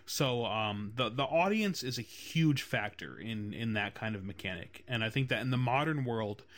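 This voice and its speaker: A man's voice: medium pitch, lively intonation, normal speed, a bit bright. Rambling male podcast host. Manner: rambling, many fillers like "you know" and "um". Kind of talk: casual podcast chat about game design.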